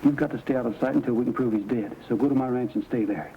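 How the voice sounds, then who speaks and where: A dull, noisy vintage western soundtrack carries an actor as an American cowboy hero. He is a male rancher with a middle-pitched voice, earnest, calm and used to giving orders. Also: fast talker, natural voice. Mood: grave, serious. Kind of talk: old western film dialogue acting.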